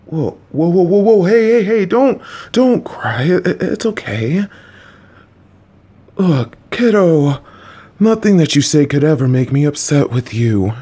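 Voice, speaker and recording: A man playing a slow, gentle, supportive dad. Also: a little high, big pitch swings, husky, full character voice. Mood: upset, protective. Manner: patient, coaxing, reassuring; jokey asides. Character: gentle, supportive middle-aged father, a bit clueless. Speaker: voice actor in an audio roleplay. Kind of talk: listener-directed audio roleplay.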